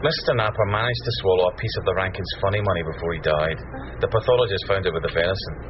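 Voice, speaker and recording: A man's voice: low voice, fast, natural voice. A man playing a reasonable, placating murder squad detective in a radio drama. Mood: explaining. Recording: muffled, noisy radio drama.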